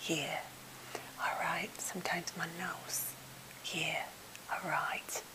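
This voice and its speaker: A woman playing a timid, slightly odd captive who is eager to please, speaking in a halting, gentle, polite whisper for an ASMR role play. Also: on the low side, little pitch movement, poor audio. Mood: soothing, fussy. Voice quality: breathy whisper with fry.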